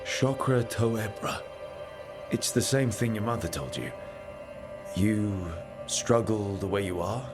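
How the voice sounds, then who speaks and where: An actor playing a supportive, reassuring leader of an adventuring team in a video game. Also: male voice, fairly low pitch, slow pace. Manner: reassuring, encouraging. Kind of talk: video game cutscene acting. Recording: music behind the voice.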